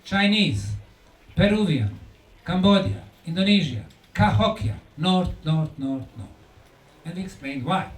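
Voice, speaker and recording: A man giving a conference lecture in an emphatic, deliberate way, repeating key words for effect. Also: high-pitched, animated. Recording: echoey room, distant mic, faint noise.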